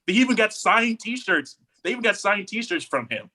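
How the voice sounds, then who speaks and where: Male, high voice, bright and sharp, emphatic, repetitive phrasing, casual podcast opinion chat. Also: big pitch swings, normal speed. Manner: emphatic, repeats phrases for stress. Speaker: podcast co-host.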